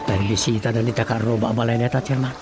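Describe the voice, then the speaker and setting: A man's voice: mid-range voice, steady tone, fast, background noise, natural voice. A man acting a comic, flustered village chairman in an Ilocano radio drama, muttering and exclaiming to himself. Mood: flustered, eager.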